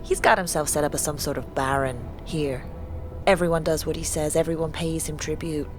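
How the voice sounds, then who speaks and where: A poor young woman of the slums acted by a woman speaks in a low voice. She is guarded and resentful, keeping her answers terse. It is a fantasy audio drama with soft music underneath. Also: steady tone, brisk, natural voice. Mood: bitter, guarded.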